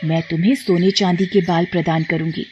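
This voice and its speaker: A woman's voice: lowish voice, fairly even intonation, brisk, a little mellow, lo-fi sound, a little hiss or hum, natural voice. A woman voicing a kind magical mother figure who gives blessings in a storybook tale, soothing and solemn. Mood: reassuring.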